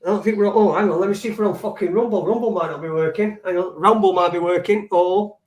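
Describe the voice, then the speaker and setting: High-voiced rambling Sheffield man. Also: quick pace. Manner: rambling, chatty, swears casually. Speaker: co-host. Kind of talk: casual live-stream chat.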